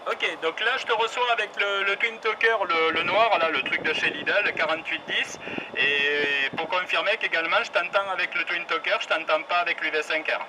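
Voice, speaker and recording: A man's voice: high voice, brisk, noisy background. A man doing a casual radio check outdoors with handheld radios, talking with lots of hesitations and fillers. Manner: hesitant, many fillers and restarts. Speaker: radio hobbyist testing handhelds. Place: outdoors on a high building in the city. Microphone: handheld radio and camera.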